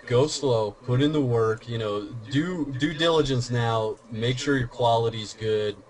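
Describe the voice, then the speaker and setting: A man in casual podcast chat, giving earnest, steady advice about growing a channel. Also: medium pitch, a little slow. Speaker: YouTube commentator.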